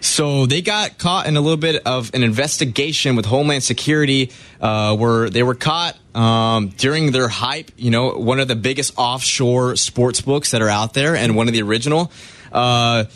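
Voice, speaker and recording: Man reading sports news haltingly. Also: medium pitch, fairly bright tone, no echo. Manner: halting, stumbles over words while reading. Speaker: sports radio co-host reading news items. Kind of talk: reading out news stories on radio.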